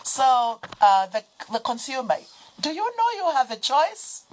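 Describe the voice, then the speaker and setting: A woman's voice: high voice, sing-song, measured pace, slight background noise, rough recording. Emphatic Zambian woman on a panel. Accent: Zambian English. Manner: emphatic, persuasive, addresses listeners directly. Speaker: panel guest. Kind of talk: studio panel discussion.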